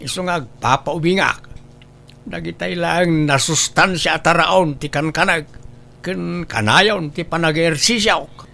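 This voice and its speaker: A man's voice: a little high, measured pace, vocal fry, sharp tone, exaggerated voice. A man with an Ilocano Filipino accent acting a boastful, health-obsessed, nagging but cheerful old husband in a comedy drama. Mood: boastful, amused.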